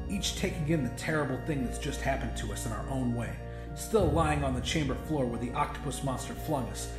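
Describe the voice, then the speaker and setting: High-voiced man narrating horror, music behind. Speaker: audiobook narrator. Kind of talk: dramatic first-person horror story narration. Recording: roomy echo with music behind.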